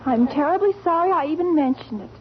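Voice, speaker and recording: A woman's voice: high voice, animated, fast. A woman playing a solicitous teenage daughter in a 1940s radio comedy, coaxing and over-polite. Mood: sweetly solicitous. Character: sweet, solicitous teenage daughter soothing father. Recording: muffled, noisy 1940s radio recording.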